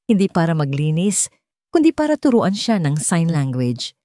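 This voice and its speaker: A woman's voice: low voice, sing-song, moderate pace, mellow, dry recording. A woman reading a scripted dramatic story as an audiobook narrator.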